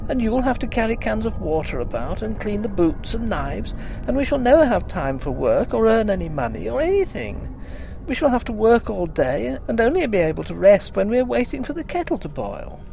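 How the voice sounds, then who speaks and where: A man's voice: high-pitched, very animated, a little fast, gravelly, dark tone, a little hiss or hum, pitched above the performer's own voice, full character voice, poor audio. A man voicing a young wife in a ghost story, tearful and fretful, pleading and complaining. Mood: tearful fretting.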